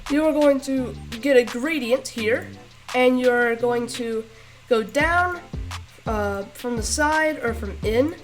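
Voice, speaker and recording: A woman with a rough voice giving a casual step-by-step tutorial, halting with fillers and restarts. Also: on the high side, faint music behind. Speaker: tutorial creator. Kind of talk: casual screen-recorded tutorial.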